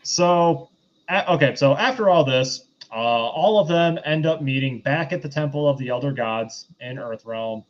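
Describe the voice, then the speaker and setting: A man hosting a review podcast, giving a casual, unscripted recap in a rambling, halting, conversational way. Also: mid-range voice. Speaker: podcast host reviewing a film. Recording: dull, lo-fi voice recording.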